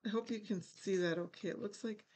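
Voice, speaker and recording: A woman's voice: mid-range voice, brisk, a little mellow, breathy edge. An older woman hosting a casual crafting video, thinking aloud and poking fun at herself as she works at a table. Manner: chatty, self-deprecating, thinking aloud while working. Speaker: craft channel host. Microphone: fixed camera over a craft table.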